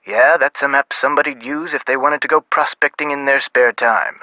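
An actor voicing a dry, guarded but chatty government clerk in a video game phone conversation. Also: male voice, mid-range voice, steady tone, a little fast, bright, forceful tone, no room sound, lightly acted, poor audio. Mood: businesslike.

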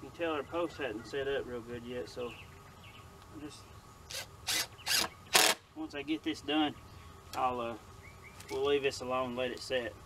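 A man's voice: medium pitch, a little slow, pauses often. Laid-back farmer talking while he works. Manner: relaxed, rambling, self-correcting. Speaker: farmer vlogger. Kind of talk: casual narration while working. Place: open farm field outdoors. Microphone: camera on tripod at a distance. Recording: outdoor camera audio with clear background noise.

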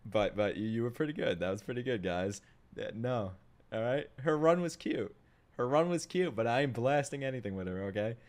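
A man's voice: mid-range voice, lively intonation, a little fast, a little mellow. A man streaming a casual live reaction, slangy, sweary and exclamatory. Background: game trailer music.

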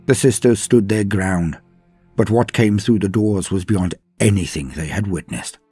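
A man with a very low, slightly rough-edged husky voice narrates a dark story. He speaks gravely and portentously, pausing deliberately for dramatic weight. Music plays faintly underneath.

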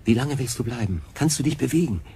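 Man playing a probing, mid-pitched stranded sailor. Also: expressive, fast, warm tone, slight background noise, lightly acted. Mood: curious, pondering. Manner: questioning, reflective. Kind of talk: radio drama acting.

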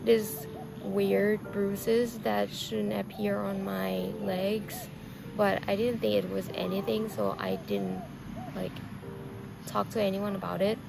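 A Thai woman in her twenties with a Thai accent, telling her own story softly and slowly to a camera outdoors, with many fillers. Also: medium pitch, vocal fry, background noise. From Thailand. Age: 20s. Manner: slow, soft and reflective, with many fillers. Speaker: vlogger. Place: outdoors on a lawn. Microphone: camera on a tripod, seated facing it.

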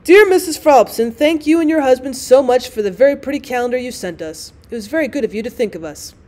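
A woman's voice: high-pitched, expressive, quick pace, mellow. Woman reading as a fussy, formal, indignant husband. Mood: dictating. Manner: formal, declamatory, literary phrasing. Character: gloomy, fussy, indignant husband, grandly pedantic.

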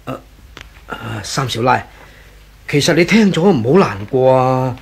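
Husky, stammering, gossipy employee. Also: male voice, mid-range voice, animated, measured pace, exaggerated voice. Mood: insinuating. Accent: Hong Kong Cantonese. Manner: stammering, hedging, sighing. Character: hesitant, gossiping male employee, slyly feigning reluctance. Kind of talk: 1980s Cantonese radio drama acting.

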